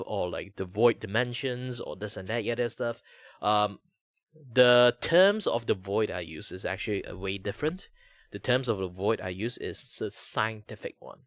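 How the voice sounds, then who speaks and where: Chatty Malaysian-accented young man, low voice. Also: fairly bright tone, no echo, studio quality. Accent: Malaysian English. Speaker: streamer host. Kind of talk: casual chat while drawing.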